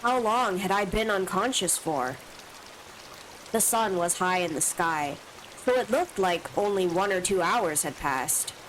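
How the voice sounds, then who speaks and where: A woman's voice: medium pitch, fairly bright tone, slight background noise, poor audio. A woman streamer with allergy sniffles, reading a visual novel's first-person text aloud with asides of her own. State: stuffy from allergies.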